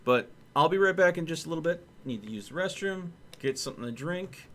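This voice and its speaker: A man's voice: on the high side, expressive, brisk, a bit bright. A man talking casually as a livestream host, rambling and thinking aloud as he goes.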